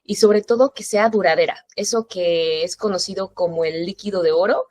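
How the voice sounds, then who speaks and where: A woman's voice: lowish voice, normal speed, a little mellow. A woman in her thirties hosting a parenting interview show, greeting families and welcoming her guest in an informal, welcoming way. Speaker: host.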